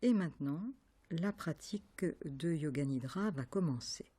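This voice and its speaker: A woman leading a guided yoga nidra relaxation as an instructor, calm and measured, giving instructions in short, separate phrases. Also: sits low, slightly rough.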